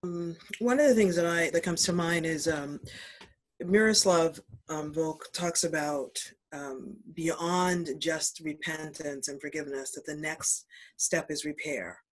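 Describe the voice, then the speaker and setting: Man panelist, somewhat high voice, considered, pausing often. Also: rough recording. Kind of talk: panel discussion.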